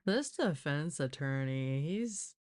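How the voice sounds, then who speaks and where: A woman's voice: sits low, lively intonation, slow pace, darkish tone. A woman hosting a casual live true-crime stream, talking informally and giving her opinions. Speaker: live stream host commentator.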